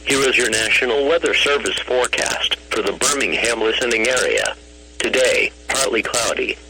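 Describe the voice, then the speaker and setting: A man's text-to-speech voice delivers a weather bulletin as a radio announcer. The pitch is ordinary for a man and the phrasing is formal, flat and clipped. It comes through a noisy radio broadcast recording. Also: normal speed. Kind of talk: scripted weather bulletin read-out.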